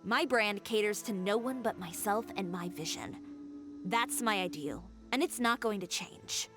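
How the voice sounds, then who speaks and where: A woman's voice: mid-range voice, measured pace, over music, lightly acted. A woman playing a proud, defiant young fashion designer in an anime game, cutting and assertive in her delivery. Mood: resolute.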